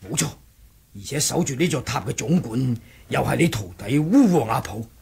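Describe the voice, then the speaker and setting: A man's voice: mid-range voice, animated, normal speed, vocal fry, darkish tone, breathy edge, heavily acted. A man with a Hong Kong accent playing a bold, crafty warrior monk in a Cantonese radio drama, teasing and commanding. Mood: scheming.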